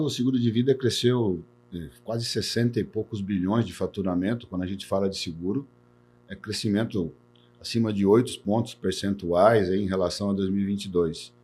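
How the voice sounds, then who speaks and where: A man's voice: lowish voice, fairly quick, a little mellow, slightly rough. A man in his forties from Brazil, an insurance executive talking earnestly in a podcast interview and often adding "né". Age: 40s. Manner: earnest, repeats words, tags sentences with "né".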